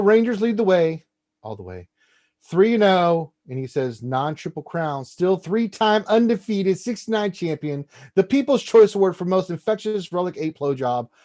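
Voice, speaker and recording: A man co-hosting a casual gaming stats show, joking and teasing as he reads out results. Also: fairly high pitch. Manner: jokey, teasing, animated delivery. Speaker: co-host.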